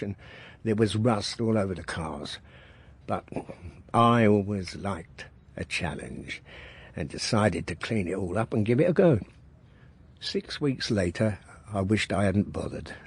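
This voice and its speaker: A working-class wheeler-dealer in middle age, reminiscing in a radio drama. His voice is low and husky. He tells it in colloquial, matter-of-fact fashion, proud of his own hustle. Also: darkish tone, exaggerated voice. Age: middle-aged.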